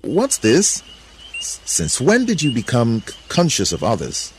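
A man playing a commanding, suspicious husband and master who speaks loudly and demandingly in a dramatic story. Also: lowish voice, sing-song, normal speed, a little hiss or hum, voice pushed up. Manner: loud, demanding, imperious.

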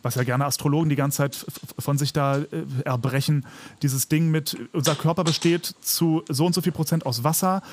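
A man's voice: on the high side, steady tone, a little fast, slight background noise. A man co-hosting a podcast in casual conversation, skeptical and argumentative, restarting his phrases as he reasons. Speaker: podcast co-host.

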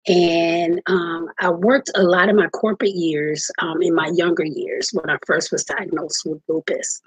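Soft-spoken woman in her forties, halting. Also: on the low side, little pitch movement, husky, dry recording, poor audio. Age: 40s. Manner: earnest, halting with many ums. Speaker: podcast host. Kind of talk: personal storytelling. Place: home, just moved in.

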